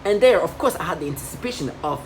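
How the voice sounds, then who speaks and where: A woman's voice: on the low side, lively intonation, fast. A woman in her thirties in a rambling personal monologue, agitated and repetitive, with many restarts. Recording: noisy home recording.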